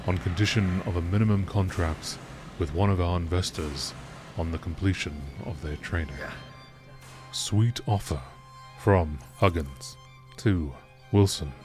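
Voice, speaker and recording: A man reading scripted lore texts in a nature documentary narration style, with measured, deliberate phrasing. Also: sits low, steady tone, darkish tone, faint music behind.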